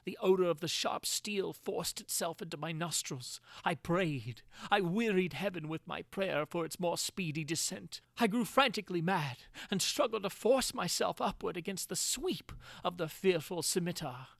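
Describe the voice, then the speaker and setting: A man's voice: high voice, lively intonation, normal speed, gravelly, few pauses, a bit bright, no room sound, clean studio sound, heavily acted. A man playing a tormented prisoner recounting his agony in a theatrical, anguished way with archaic diction, in a gothic horror reading. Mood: dread, weary.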